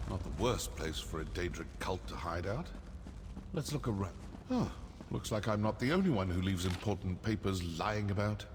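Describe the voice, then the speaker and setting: Video game assassin, low-pitched, sardonic, suspicious, expressive intonation, fantasy setting. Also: male voice, normal speed, background noise. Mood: wry, watchful. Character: male assassin of a dark brotherhood, wry and suspicious. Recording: cavernous reverb, faint game ambience.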